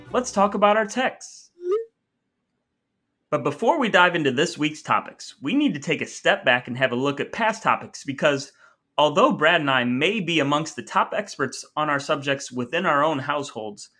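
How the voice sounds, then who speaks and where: A man with a bright, forward voice hosts with playful mock grandeur. His phrasing is wordy and comic, sitting at an ordinary male pitch. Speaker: podcast host. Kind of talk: casual comic banter, partly dramatic reading of texts.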